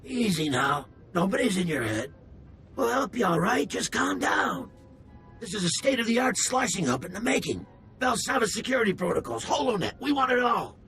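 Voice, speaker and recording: A high-voiced, excitable male alien hacker in a game. His slangy, cheeky delivery swings up and down, with music underneath. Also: normal speed, heavily acted. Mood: reassuring, eager. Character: excitable, jokey alien tech-junkie criminal hacker. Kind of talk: game cutscene acting.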